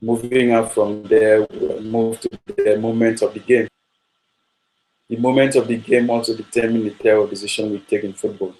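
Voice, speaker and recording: A man speaks in a low voice that barely rises or falls. He is a football coach, talking at a steady, measured pace with frequent ums and uhs, and he keeps circling back to the same points. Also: low-quality recording. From Nigeria. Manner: measured, repetitive, with frequent fillers. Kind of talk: spoken coaching talk.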